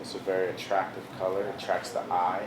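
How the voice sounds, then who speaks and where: A young man from the DC area talks about his art in a loose, easygoing way, leaning on "bro" and "you know". His voice sits on the low side. The room is echoey, with a steady wash of background noise. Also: fast. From Washington DC area. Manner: laid-back, heavy on "bro" and "you know" fillers. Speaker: visual artist, interview guest. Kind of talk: casual interview chat.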